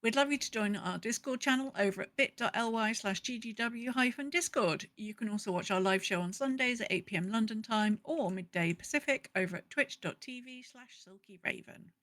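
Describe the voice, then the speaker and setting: A woman's voice: a little high, brisk, hardly any pauses, a bit bright. A woman hosting a podcast in casual chat, with dry, understated humour. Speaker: podcast host.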